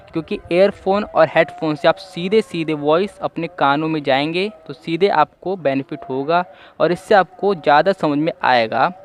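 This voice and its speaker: A young man hosting an online English-listening lesson, giving repetitive advice in a steady explanatory voice-over. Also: fairly high pitch. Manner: repetitive, advising tone. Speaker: English-learning channel host.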